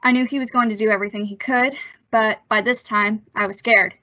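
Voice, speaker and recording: A woman's voice: medium pitch, steady tone, a little fast, over loud music, natural voice. A woman playing a nervous, evasive young man with a hesitant manner in an old-time radio suspense drama. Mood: tense recounting. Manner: hesitant, stammering under pressure. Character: nervous young man, evasive impostor telling his story. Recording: dull, lo-fi audio.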